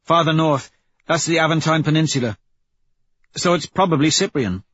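A man voicing a brisk female tech soldier giving clipped, factual reports in an audiobook. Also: on the high side, a bit bright, husky, lo-fi sound, pitched above the performer's own voice. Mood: focused.

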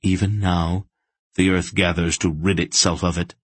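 A mysterious bodiless mentor from a fantasy audiobook, voiced by a male narrator. It speaks low and husky, with firm command. Every phrase is clipped and sure. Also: little pitch movement, normal speed, no echo. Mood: assuring. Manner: sharp, authoritative. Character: commanding disembodied inner voice, calm, firm, ancient.

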